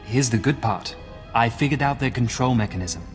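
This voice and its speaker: An actor playing an earnest, eager field agent giving a brisk report. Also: male voice, medium pitch, in a large hall, faint music behind, close to an everyday voice.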